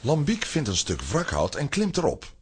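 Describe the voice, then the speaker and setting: A man with a husky voice narrating a scripted children's radio play as an animated storyteller. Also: on the high side, normal speed, noisy background.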